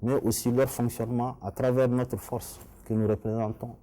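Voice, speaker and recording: A middle-aged man with a Malian accent speaks in a mid-pitched, mellow voice. He sounds like a candidate in a formal interview, laying out his answers in an earnest, structured way. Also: little pitch movement, moderate pace. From Mali. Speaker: election candidate being interviewed.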